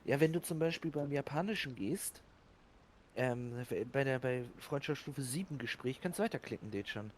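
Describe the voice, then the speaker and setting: A man's voice: mid-range voice, expressive, normal speed, slight background noise. A man rambling casually about a game, often hesitating and restarting his sentences. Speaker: let's play co-host.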